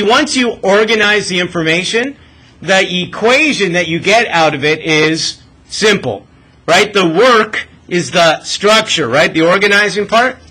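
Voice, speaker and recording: High, bright-voiced man teaching math. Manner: informal, frequent check questions. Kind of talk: classroom lesson, working a problem aloud. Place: classroom with students. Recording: noisy classroom recording.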